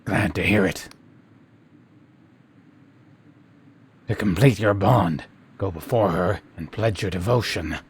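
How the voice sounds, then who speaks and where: A man playing a solemn, reverent sculptor in a fantasy video game, speaking huskily and in a measured way. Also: low-pitched, a little hiss or hum. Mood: approving, solemn. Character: reverent, secretive sculptor devoted to a crystal goddess.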